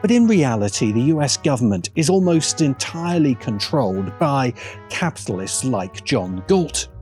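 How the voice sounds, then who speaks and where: Guy doing a scripted video essay, really lively pitch, dry sardonic emphasis, music underneath. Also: normal speed. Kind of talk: scripted opinionated commentary.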